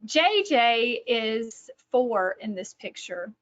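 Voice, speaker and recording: A woman's voice: fairly high pitch, expressive, slow. Texan woman telling a story on a webcam. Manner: warm anecdotal, many fillers and restarts. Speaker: author and catechesis presenter. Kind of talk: storytelling presentation. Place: home room, indoors. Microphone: webcam on video call. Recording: dull lo-fi webcam audio.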